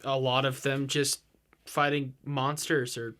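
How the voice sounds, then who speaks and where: A man's voice: medium pitch, flat delivery, a little slow, a bit bright, lightly acted. A man playing a hesitant podcast guest. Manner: hesitant, mumbling, noncommittal. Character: unsure young male podcast host guest.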